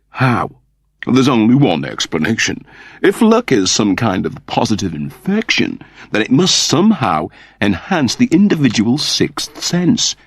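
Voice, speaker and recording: A man's voice: on the low side, breathy edge, dry recording, exaggerated voice. A man voicing a fussy, earnest mechanoid turned human in an audiobook, with a plaintive, pedantic manner.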